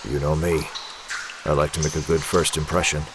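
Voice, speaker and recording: A man's voice: extremely low pitch, level tone, fairly quick, background noise. A man playing a brooding, terse vigilante crime fighter in a comic book drama. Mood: dry. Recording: loud music under the voice.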